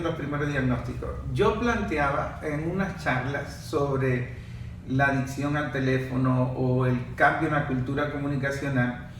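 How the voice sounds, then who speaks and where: Man with a higher voice, echoey room. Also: a bit bright, a little hiss or hum. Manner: reflective, builds arguments step by step. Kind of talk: casual panel discussion. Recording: echoey room, far from mic.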